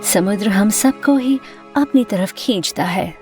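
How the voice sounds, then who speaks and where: A woman voicing an alluring, mysterious young teacher in an animated story, speaking in a smooth, composed, coaxing manner. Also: medium pitch, measured pace, pitched below the performer's own voice, lightly acted. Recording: music bed under the voice.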